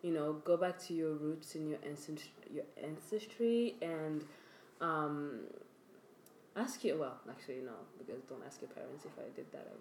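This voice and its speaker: A young woman with a low-pitched voice talks in a chatty, emphatic way on a podcast. The room around her is echoey, with a faint hiss underneath. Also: a little mellow. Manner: chatty, emphatic, quick to laugh. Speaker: podcast co-host. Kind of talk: casual chat.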